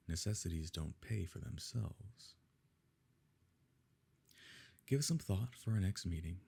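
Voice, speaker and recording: A man playing a possessive evil nobleman in an ASMR romance roleplay, speaking in a measured, formal, quietly coercive way with a husky, gravelly voice. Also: deep voice, heavily acted. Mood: coaxing, businesslike. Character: smooth, possessive evil nobleman courting a grieving beloved. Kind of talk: ASMR romance roleplay, scripted dramatic monologue.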